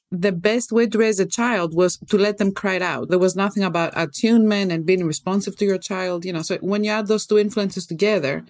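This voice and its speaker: A woman's voice: mid-range voice, a little mellow, dry recording. Woman, Greek accent, middle-aged, candid storyteller, interview guest, dry close mic. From Greece. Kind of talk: reflective interview conversation.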